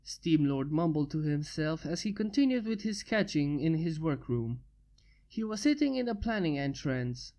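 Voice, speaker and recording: A reader narrating a fanfiction story in a steady, plain way. The delivery is even and unhurried, of middle pitch, without a performed voice. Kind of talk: fanfiction reading.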